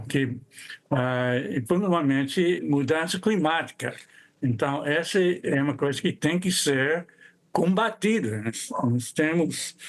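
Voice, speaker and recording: An older man with an American accent, a native English speaker, giving a scientific presentation haltingly as he searches for words. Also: fairly high pitch. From United States. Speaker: biologist and climate scientist. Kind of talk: expert presentation.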